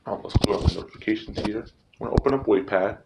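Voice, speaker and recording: A man hosting a casual tutorial, speaking informally and haltingly, often repeating himself. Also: lowish voice. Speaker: tutorial host. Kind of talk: casual screen-recording tutorial. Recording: noisy recording with clicks.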